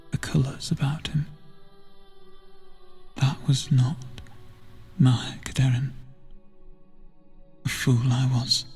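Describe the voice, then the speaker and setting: A man playing a gentle, guilt-ridden lantern bearer in a fantasy video game, speaking softly in old-fashioned phrasing, half-whispered, hesitant and solemn. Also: mid-range voice, exaggerated voice. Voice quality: breathy, husky, half-whispered. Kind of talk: soft-spoken video game NPC dialogue, theatrical archaic phrasing.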